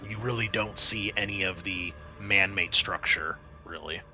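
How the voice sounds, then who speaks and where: A man's voice: deep voice, steady tone, unhurried, sharp tone, faint music behind. A man acting as game master, describing scenes conversationally for a tabletop roleplaying game.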